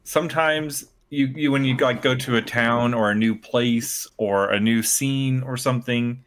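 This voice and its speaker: A man's voice: mid-range voice, steady tone, a little slow. A man co-hosting a tabletop gaming discussion as a player, explaining his point in a casual, tentative way. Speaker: podcast co-host and player.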